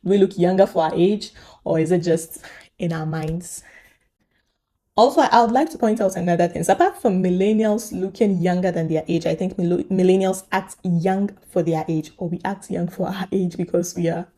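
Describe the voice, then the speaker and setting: A woman hosts a conversational commentary video. Her voice sits a little low and is very mellow and relaxed. She feels her way through sentences, repeating words and turning to viewers with questions. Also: normal speed. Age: young adult to middle-aged, millennial. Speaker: host.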